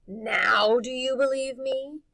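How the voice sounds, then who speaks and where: A woman's voice: high voice, steady tone, slow, gravelly, fairly bright tone, no echo, clean studio sound, pitched above the performer's own voice, heavily acted. A woman voicing a scared, tearful young boy in a storybook, plaintive and fretful. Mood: frustrated.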